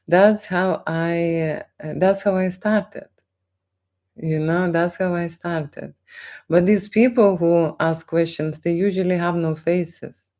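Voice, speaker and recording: A woman with a Lithuanian accent chats unscripted on a live stream, haltingly, repeating phrases and often checking in with short tag questions. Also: fairly low pitch, a little mellow. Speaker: live stream host, photographer. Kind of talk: unscripted live stream chat, answering viewers.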